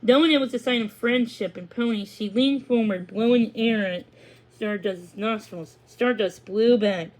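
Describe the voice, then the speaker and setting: A woman's voice: a little high, moderate pace, poor audio. A woman reading a children's story aloud, now and then tripping over a word and going back to it. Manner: stumbles and rereads words. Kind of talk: read-aloud storybook narration with acted dialogue.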